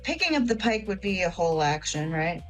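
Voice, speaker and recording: Lower-voiced woman playing a tabletop game. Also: sing-song, fairly quick. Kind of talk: casual, improvised in-game narration. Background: background music.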